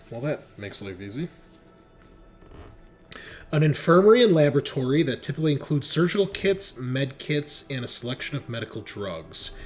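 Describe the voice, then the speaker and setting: Male game master, mid-pitched, relaxed and conversational, improvising rulings over clearly audible background music. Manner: easygoing, thinking aloud, improvising rulings. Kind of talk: casual tabletop game narration.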